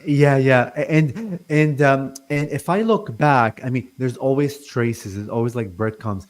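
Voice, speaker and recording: A man in his forties, born in Lebanon, talking as a guest on a call-in interview; he stutters and speaks haltingly with many fillers and restarts. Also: mid-range voice. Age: 40s. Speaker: guest channeler. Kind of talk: casual interview talk.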